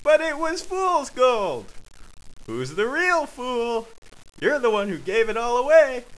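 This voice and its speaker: A man voicing an excitable, impatient, quarrelsome male friend in a comic story, bursting into exclamations of outrage. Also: sits high, slow, voice pushed up, full character voice, poor audio. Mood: outraged.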